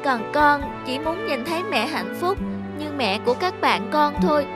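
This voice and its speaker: A woman voicing a devoted, thoughtful young schoolboy in an animated drama, polite, earnest and imploring. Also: sits high, normal speed, loud music behind the voice. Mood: pleading. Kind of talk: animated moral drama acting.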